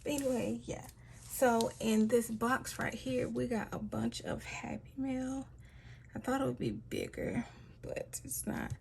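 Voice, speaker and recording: A woman talks through her planner spread at an unhurried pace, her fairly high voice carrying a gravelly, slightly raspy edge. She chats loosely and often drops into a soft half-whisper. Steady hiss and noise sit under the recording. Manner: relaxed, chatty, trailing off with fillers. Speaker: planner vlogger. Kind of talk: casual talk-through while decorating.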